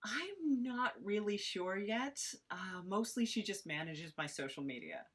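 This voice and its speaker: A woman playing a ditzy, doting podcaster in a comedy read-through, hesitant, apologetic and cutesy, with a husky voice. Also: mid-range voice, animated, measured pace, fairly bright tone. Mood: sheepish, flippant. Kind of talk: informal script read-through of audio comedy.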